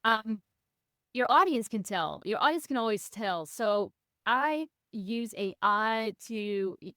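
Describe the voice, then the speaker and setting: A woman's voice: fairly high pitch, takes it slowly, clean tone, no room sound, studio quality. A young adult woman from Belgium who speaks English as a second language, chatting animatedly as a podcast guest. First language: not English. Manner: animated, laughs easily, says "I feel like" often. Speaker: podcast guest, personal brand strategist. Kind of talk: casual interview chat.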